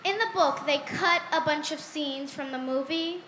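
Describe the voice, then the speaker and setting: A woman's voice: high voice, moderate pace, sharp tone, a little hiss or hum. A woman from the audience asking a question, nervous and stumbling over her words.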